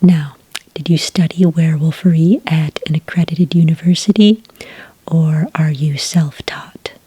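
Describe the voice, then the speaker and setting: A woman playing a friendly, patient licensing clerk in a soft, breathy ASMR roleplay, speaking gently and politely close to the microphone. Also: low voice, steady tone, no echo, exaggerated voice. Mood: calm, polite. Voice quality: breathy, soft, near-whisper. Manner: gentle, unhurried, politely official. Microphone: close, dry microphone.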